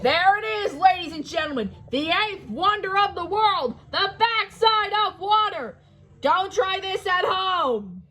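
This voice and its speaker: A woman's voice: extremely high pitch, expressive, a little slow, sharp tone, soft background music, voice pushed up, heavily acted. A woman voicing a showy, cheerful male tour-boat skipper in a storybook, theatrical and full of puns. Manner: theatrical, punning announcer delivery.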